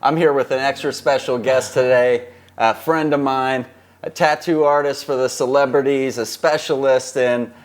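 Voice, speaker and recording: A man hosts an interview podcast in an average-pitched voice, friendly and affirming as he asks questions. He keeps chiming in with short agreements. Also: fairly even intonation, moderate pace. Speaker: podcast host.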